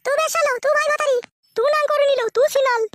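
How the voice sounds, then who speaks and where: A performer voicing a jealous, foul-mouthed village wife in a comedy cartoon, a pitched-up, sped-up voice and a rapid, quarrelling delivery. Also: steady tone, a bit bright, slight background noise. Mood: furious, taunting. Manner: ranting, insulting, rapid-fire quarrelling. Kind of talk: comedy cartoon dubbing with altered high-pitched voice.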